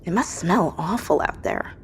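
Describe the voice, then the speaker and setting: This is an isolated woman survivor in an audio drama. Her voice is on the low side, and she speaks earnestly and hesitantly, anxious to understand. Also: animated, normal speed, vocal fry, slight background noise. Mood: uneasy, curious.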